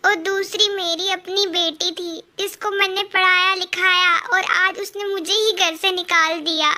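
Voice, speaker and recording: Lady doing sorry old mom in a kids cartoon, weak n pleading, very slow, high. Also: steady tone, sharp tone, full character voice. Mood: remorseful. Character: frail, pleading, remorseful elderly mother. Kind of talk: children's cartoon dialogue.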